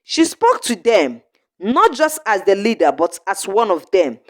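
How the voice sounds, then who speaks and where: A woman narrating a scripted folktale in short, measured phrases with an earnest storybook delivery. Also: mid-range voice, very animated.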